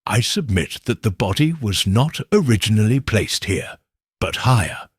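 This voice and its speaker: A man voicing a shrewd, calm Victorian detective who reasons in a measured, precise way, in a husky voice. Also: on the low side, no room sound, studio quality.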